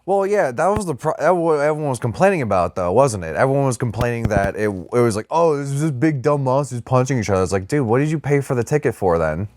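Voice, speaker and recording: A man's voice: mid-range voice, very animated, fast, few pauses, a little mellow. A man co-hosting a podcast in casual, joking banter, throwing in wisecracks and swearing. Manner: wisecracking, profane interjections. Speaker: podcast co-host.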